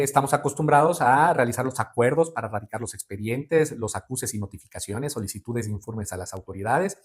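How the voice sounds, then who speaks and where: A Mexican man in his forties explains his career in a formal, measured way. He pauses on fillers and often closes a thought with a tag question. His pitch sits in a middle range. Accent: Mexican Spanish. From Veracruz, Mexico. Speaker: judicial candidate guest. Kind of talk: interview answers.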